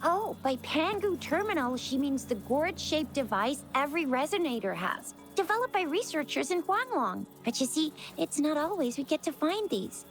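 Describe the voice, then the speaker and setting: Very high, excitable cheeky girl fighter. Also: normal speed, few pauses. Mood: chirpy explaining. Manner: bubbly, exclaiming, folksy wording. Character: excitable, cheeky young woman companion fighter. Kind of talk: anime-style game voice acting. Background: game music.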